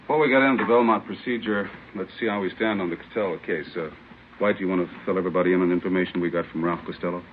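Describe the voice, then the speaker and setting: An actor playing a courteous, formal and businesslike chief of detectives in a 1950s radio drama. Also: male voice, low voice, fairly even intonation, racing, natural voice. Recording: muffled old radio recording, faint noise.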